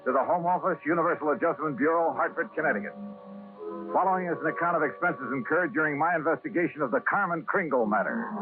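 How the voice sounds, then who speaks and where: An actor playing a wry insurance investigator with clipped, dry wit in an old-time radio detective drama. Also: male voice, on the high side, fast, close to an everyday voice. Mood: dry narrating. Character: wry freelance insurance investigator, adult man. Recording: tinny vintage radio recording, music behind.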